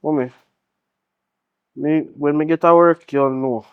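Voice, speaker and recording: A man's voice: fairly high pitch, steady tone, a little slow, clear, resonant tone, narrow, tinny audio. An actor playing a defiant, evasive young man, speaking slangy Jamaican English in a naturalistic, dismissive, argumentative way. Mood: defensive.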